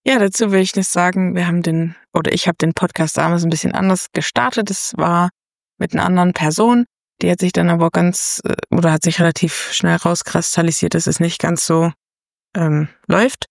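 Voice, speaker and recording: A woman's voice: lowish voice, fairly even intonation, brisk, creaky, no echo, clean studio sound. A woman in her thirties hosting a casual podcast chat, talking loosely with many fillers and small laughs. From Germany. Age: 30s. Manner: chatty, many fillers, laughs between phrases. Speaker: podcast host.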